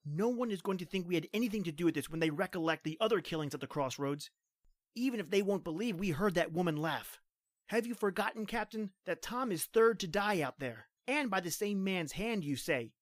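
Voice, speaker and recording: An actor playing an earnest, insistent young trooper. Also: male voice, high-pitched, expressive, fairly quick, dry recording, clean studio sound. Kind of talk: old-time radio drama reading.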